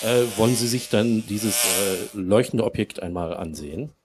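A man playing a puzzled, halting embassy servant in a live radio play. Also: on the low side, lightly acted. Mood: hesitant. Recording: noisy live stage, somewhat distant microphone.

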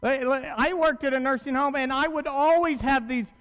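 A man preaches from a pulpit in a large auditorium, his voice pitched high and carrying long hall reverb. He talks to his listeners directly and conversationally, driving points home with repetition and rhetorical questions. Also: fairly even intonation, moderate pace, fairly bright tone. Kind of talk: sermon.

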